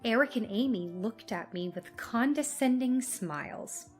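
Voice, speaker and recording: High-voiced woman narrating a story. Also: lively intonation, a little slow, husky, soft background music. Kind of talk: scripted first-person story narration.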